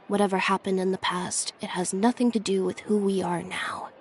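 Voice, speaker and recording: A woman playing a composed, devoted young woman in a video game, speaking calmly and deliberately. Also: medium pitch, a little hiss or hum, natural voice. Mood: calm, serious. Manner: calm, deliberate. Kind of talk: dramatic video game dialogue.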